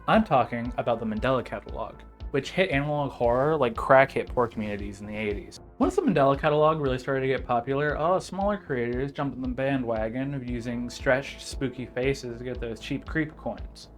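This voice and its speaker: A man's voice: mid-range voice, animated, brisk, few pauses, soft background music. A young man delivering a casual video essay as narrator, informal and sardonic with joking asides.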